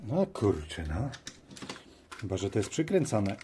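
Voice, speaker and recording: A man giving casual hands-on commentary, musing aloud in short, halting phrases as he works at a workshop bench. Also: on the low side, very animated. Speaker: host of a DIY teardown video. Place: workshop at a cluttered metal bench. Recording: noisy workbench with clicks and clatter. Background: tool clicks and metallic clatter.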